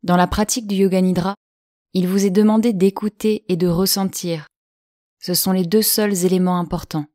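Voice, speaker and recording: Calm, low-voiced woman guiding relaxation. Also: no echo, clean studio sound. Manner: slow, calm, soothing delivery with long pauses. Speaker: yoga nidra guide. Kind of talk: guided relaxation instruction.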